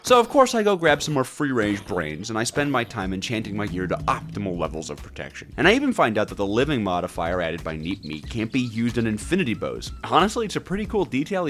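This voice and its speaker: A man hosting a gaming video, narrating from a script with wry, jokey asides and an expressive delivery. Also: lowish voice.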